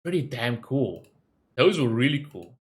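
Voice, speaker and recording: A man giving casual, excitable and rambling reaction commentary as host of a gaming video. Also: mid-range voice, unhurried.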